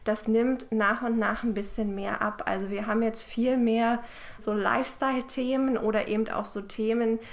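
Higher-voiced woman explaining as an expert. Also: steady tone, normal speed, gravelly. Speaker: literary scholar, expert. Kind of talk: spontaneous expert interview soundbite.

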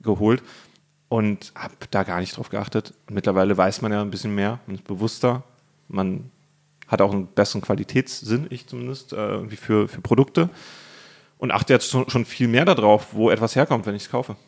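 A lower-voiced man from Berlin chats loosely on a video podcast, talkative and quick to laugh. He is in his thirties and sounds at ease, recorded on a studio microphone. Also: creaky. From Berlin, Germany. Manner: relaxed, talkative, laughs easily. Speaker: guest, cooking YouTuber. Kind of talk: casual podcast chat over food.

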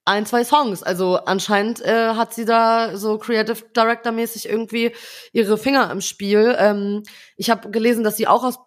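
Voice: medium pitch, little pitch movement, normal speed. A young adult podcast co-host in a casual chat, talking in a rambling way full of hedges and tag questions.

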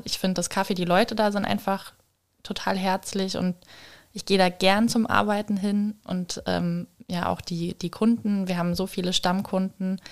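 A woman chatting informally as a guest on a podcast. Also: fairly low pitch. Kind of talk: casual chat.

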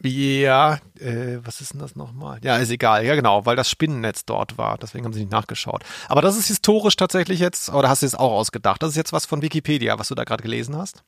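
A man's voice: medium pitch, expressive, fast talker, vocal fry, hardly any pauses, fairly bright tone, no echo, studio quality. A man chatting casually and wryly as a podcast co-host. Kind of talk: casual chat.

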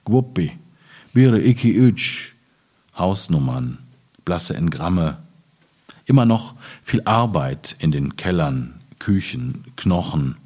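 A man from Germany's Ruhr region, a poet at a literary reading, speaking in a husky, deliberate, measured way. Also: low voice, big pitch swings, a little mellow. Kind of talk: poetry reading with informal commentary.

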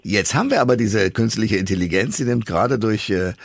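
A man's voice: on the low side, animated, a little fast, creaky, dry recording. A man hosting a radio interview, asking long, rambling questions in a conversational way.

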